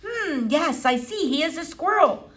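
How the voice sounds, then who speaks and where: A woman voicing an elderly foreign doctor of philosophy from a children's book, gentle and rambling, with formal, quaint phrasing. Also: high voice, expressive, measured pace, voice pushed up. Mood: musing. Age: older. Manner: formal, quaint syntax, digressive, soothing. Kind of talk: children's book read-aloud.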